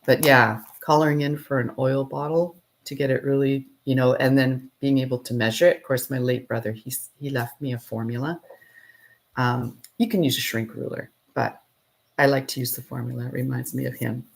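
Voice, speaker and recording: A middle-aged woman whose voice sits very low and carries a gravelly fry. She chats in a relaxed way, laughs often and thinks aloud while she works with her hands. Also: fairly quick. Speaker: potter and channel host. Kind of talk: casual live-stream chat.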